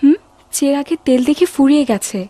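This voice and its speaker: A woman's voice: high-pitched, normal speed, warm tone, no room sound, lightly acted. A woman playing a clever, watchful young servant in a dubbed cartoon, decisive in manner. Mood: practical. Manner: decisive, urgent.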